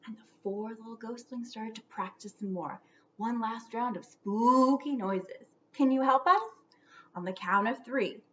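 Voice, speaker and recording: A woman reading a children's storybook aloud theatrically, stretching out words and dropping into hushed whispers for suspense. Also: fairly high pitch, unhurried, muffled recording.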